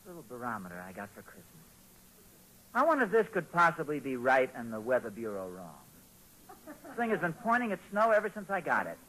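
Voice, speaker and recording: A man's voice: mid-range voice, wildly swooping pitch, fast, sharp tone. A man playing a hopeful, anxious young father in a 1940s radio sitcom, speaking in a polite, mild, slightly hesitant way. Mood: earnest, polite. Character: hopeful, anxious young father of small boys, storytelling dad. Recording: noisy vintage 1940s radio recording.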